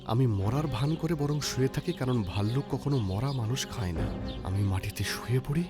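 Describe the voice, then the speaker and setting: A man voicing a bold, self-assured young man in a children's animated story, with a preachy, emphatic delivery. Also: mid-range voice, normal speed, over music, close to an everyday voice. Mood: anxious, plotting.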